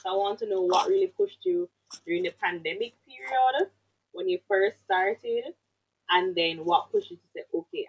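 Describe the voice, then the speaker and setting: A woman's voice: lowish voice, slightly rough, dull audio. A woman from Jamaica hosting an interview, chatty and enthusiastic in her questions. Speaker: interview host. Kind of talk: casual interview.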